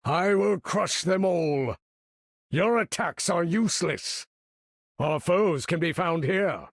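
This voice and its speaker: A man's voice: sits high, slow, dry recording, studio quality, full character voice. A man voicing an imperious older supervillain in a video game, commanding battle with pompous, theatrical, formal diction.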